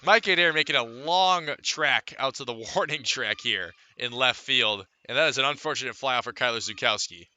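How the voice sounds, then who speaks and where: A man's voice: medium pitch, pushed and bright, clear, resonant tone. A man calling live baseball play-by-play for radio, mixing clipped stat readouts with fuller descriptions of the action. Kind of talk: live radio sports commentary.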